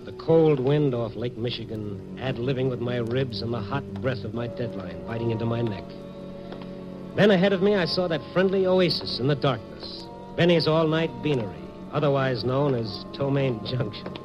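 An actor playing a wry, streetwise American reporter, narrating a 1950s radio noir drama in dramatic style over a musical score. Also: male voice, medium pitch, moderate pace, highs cut off, over music, lightly acted. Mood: brooding, wry. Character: hard-boiled, wry male Chicago night-beat newspaper reporter. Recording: vintage 1950s radio broadcast recording.

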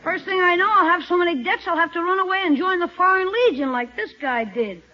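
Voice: very high voice, brisk. An actor playing a wisecracking young American schoolboy, cheeky and eager, in a 1950s radio comedy. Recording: muffled old 1950s radio recording.

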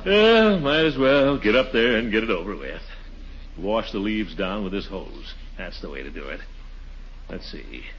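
An actor playing a pompous, excitable middle-aged householder who mutters and exclaims theatrically in a 1940s radio comedy. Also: male voice, low-pitched, muffled recording, full character voice. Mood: self-absorbed muttering. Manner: muttering to himself, theatrical exclamations, chuckling bluster. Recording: noisy, band-limited 1940s radio recording.

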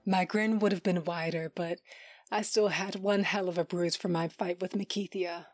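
A woman's voice: on the low side, steady tone, normal speed, darkish tone, dry recording, clean studio sound, soft background music, natural voice. A woman playing a tough, sardonic young fighter who tells her own story intimately in an audio drama, sometimes dropping to a whisper. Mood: wry, amused. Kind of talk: first-person audio drama narration.

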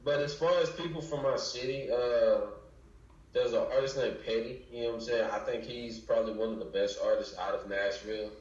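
A man's voice: mid-range voice, steady tone, brisk, a little mellow, distant mic. A man from Chicago, a musician guest, talking in a laid-back, slangy way and often saying "you know what I'm saying.". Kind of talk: casual interview chat. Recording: echoey lo-fi room, voice from off-mic.

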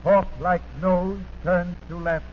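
A man reading a scripted 1940s radio police bulletin in deliberate, clipped items. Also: high-pitched, steady tone. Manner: deliberate, clipped listing with repetition. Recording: tinny noisy 1940s radio recording.

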